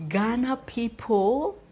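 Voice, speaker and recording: A young woman from Uganda talking casually to her viewers about her travels, with lots of fillers and asides to "guys". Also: fairly high pitch. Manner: chatty, many fillers, addresses viewers as guys. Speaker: travel vlogger. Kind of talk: casual chat to camera.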